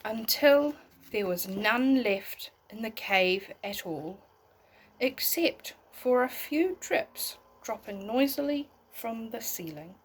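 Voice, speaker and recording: A woman reading a bedtime story aloud in an expressive way, as if talking to young listeners. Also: a little high, takes it slowly, a little hiss or hum, low-quality recording. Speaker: storybook reader.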